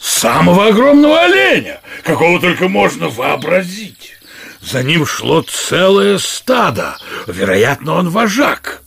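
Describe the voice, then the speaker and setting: An actor playing a big, jovial, crafty outlaw in a Russian audio drama, hearty and teasing, with a husky voice. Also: male voice, a little high, huge pitch swings, measured pace, fairly bright tone, full character voice. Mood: mock-humble flattery. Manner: hearty, teasing, mock-humble servility with superiors.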